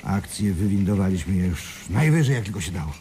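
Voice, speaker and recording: An actor playing an impatient, money-minded swindler in a radio play. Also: male voice, deep voice, animated, a little fast, mellow. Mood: impatient, complaining. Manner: pressing, impatient. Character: impatient, money-minded male partner in a crooked firm. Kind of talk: 1980s Polish radio play acting. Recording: noisy vintage radio drama recording.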